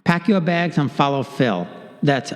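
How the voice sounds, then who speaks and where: A man's voice: a little high, expressive, unhurried, big-room sound. A man reading a travel advertisement in an upbeat, polished way. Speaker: ad announcer. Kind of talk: read advertisement.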